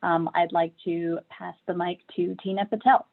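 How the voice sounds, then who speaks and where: A woman's voice: on the low side, little pitch movement, moderate pace, creaky. Woman moderator over tinny call audio. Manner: friendly, with fillers. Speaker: panel moderator. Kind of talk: moderating an online panel. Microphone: video call.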